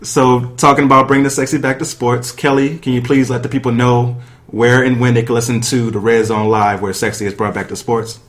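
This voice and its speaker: A man with a mid-pitched voice hosts a sports talk podcast. His delivery is informal and chatty, and he speaks straight to his listeners and guests. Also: little pitch movement, a little fast. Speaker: podcast host. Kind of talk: casual sports talk with guests and sponsor reads.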